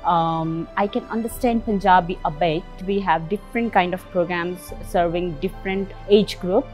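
Nepali woman, low calm voice. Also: normal speed, faint music behind. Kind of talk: interview. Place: indoors by window planters.

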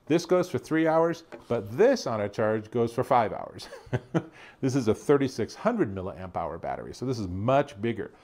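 A man's voice: mid-range voice, sing-song, moderate pace, darkish tone. A man in his fifties with a North American accent, giving a casual, unscripted product review in a chatty, upbeat, approving way.

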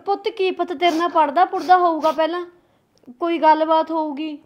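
A woman's voice: extremely high pitch, fairly even intonation, brisk, lo-fi sound. A woman playing a fretful mother. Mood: worried. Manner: scolding then coaxing, motherly. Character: worried middle-aged mother caught between son and daughter-in-law.

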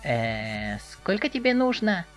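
A woman's voice: on the low side, slow pace, soft background music. A woman playing an eager, naive teenage boy, chatty and inquisitive, in a dramatic webcomic reading. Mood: wary. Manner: excitable, inquisitive, expressive.

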